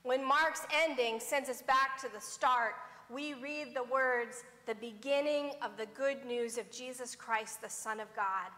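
A middle-aged woman pastor preaching a sermon from a church pulpit in a measured way, her voice dropping to a hush at the ends of phrases. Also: fairly high pitch. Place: large church sanctuary before a pipe organ. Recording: long church reverb.

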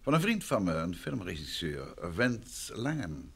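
An actor playing a composed, probing gentleman detective in an old Dutch radio play, polite, measured and formal in his questioning. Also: deep voice, big pitch swings, vocal fry, breathy edge, full character voice. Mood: sociable, curious.

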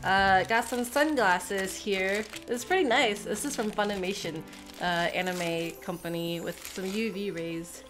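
A woman's voice: medium pitch, measured pace, over music, low-quality recording. A sick young woman with a stuffy, nasal voice, chatting casually in a small room while unpacking a bag, rambling with lots of fillers. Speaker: vlogger. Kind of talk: casual unboxing chat.

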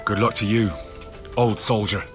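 An actor playing an earnest, determined young village fighter in a video game, speaking directly. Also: male voice, on the low side, level tone, music in the background, lightly acted. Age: young adult. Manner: direct, questioning, brisk. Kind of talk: dramatic video game cutscene acting.

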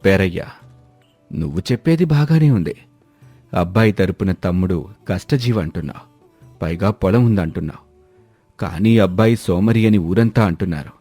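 A man voicing a cautious village father, measured and sober, in an animated moral story. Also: low-pitched, faint music behind, pitched below the performer's own voice.

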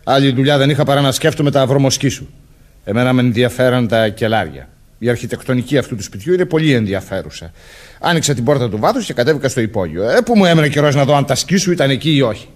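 An actor playing a gruff, irritable older army major with a brusque, dismissive manner in a Greek radio play. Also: male voice, mid-range voice, animated, natural voice. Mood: indignant.

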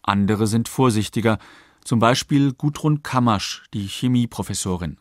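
A man narrating a scripted radio documentary in a factual, measured way at a close studio microphone. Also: on the low side, dry recording.

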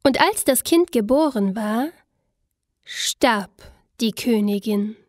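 A woman narrating a scripted fairy tale in an expressive, storybook manner. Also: fairly high pitch, no room sound, clean studio sound. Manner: expressive, unhurried storybook telling.

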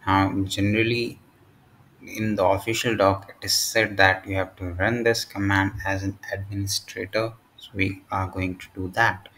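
A man narrating a step-by-step software tutorial in short, halting phrases. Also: low-pitched, level tone, a little hiss or hum, rough recording. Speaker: tutorial presenter.

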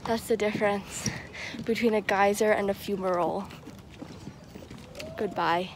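A woman's voice: medium pitch, fairly even intonation, a little slow. A young woman giving an informal explanation to a handheld phone camera outdoors. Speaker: travel companion explaining sights. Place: outdoors on a forest trail. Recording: noisy outdoor handheld audio.

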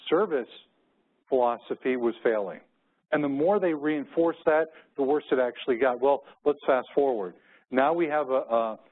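A middle-aged man with an American accent speaks as a keynote presenter, moving between business points and personal stories. His pitch sits in an average range. The recording is narrow and phone-like, like audio from a conference room feed.

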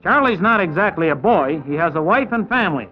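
A man's voice: high-pitched, expressive, brisk. A man working as a reporter, delivering 1950s sports newsreel narration and commentary. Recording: dull vintage 1950s newsreel sound, music behind.

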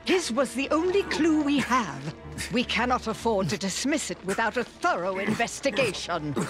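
A woman's voice: on the high side, sing-song, moderate pace. A woman voicing an imperious, scornful evil sorceress in a game, haughty, formal and commanding. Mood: imperious, impatient. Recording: music behind the voice.

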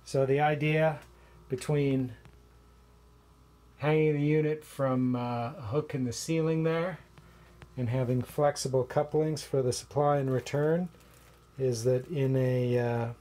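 A man in his forties explaining a homemade project in a small basement utility room, in a relaxed, plain way with occasional fillers. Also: mid-range voice, measured pace. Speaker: vlogger. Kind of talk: casual show-and-tell walkthrough.

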